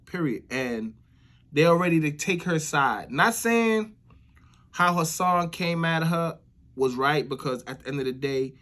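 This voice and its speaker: A man's voice: a little high, lively intonation, measured pace, a bit bright. A man with an American accent giving casual spoken commentary as the host of a vlog. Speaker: vlogger hosting commentary show.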